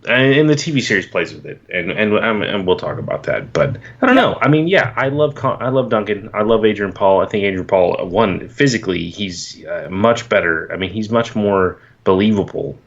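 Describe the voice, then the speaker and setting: A man's voice: fairly low pitch, expressive, a little fast, hardly any pauses, dull audio. A man guesting on a podcast in casual chat, hesitant and repeating words as he thinks.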